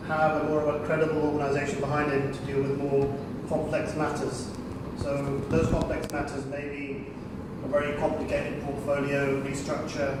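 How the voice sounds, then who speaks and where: Guy pitching a business to a small group, flat tone, far off mic, lots of room hum. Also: very echoey, big-room sound. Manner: even, measured, lots of fillers. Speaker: business presenter. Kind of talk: sales presentation to a small group. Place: meeting room in a restaurant.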